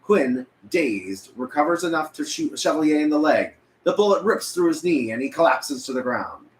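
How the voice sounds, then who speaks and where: A man's voice: a little high, very animated, normal speed. A man reading scripted narration as narrator at a table read. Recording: echoey room, faint background noise.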